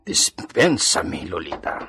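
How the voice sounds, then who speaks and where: A man's voice: low-pitched, very animated, a little slow, background noise. A man playing a stern, lordly landowner in an Ilocano radio drama, speaking formally with Spanish words. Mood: weary, stern. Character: imperious Spanish-styled landowner, stern male master.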